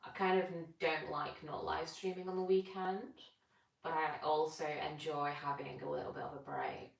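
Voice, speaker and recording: A woman's voice: sits low, gravelly. A young British woman chatting casually on a live stream while she stretches, rambling and answering comments. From Britain. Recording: echoey room, distant from mic.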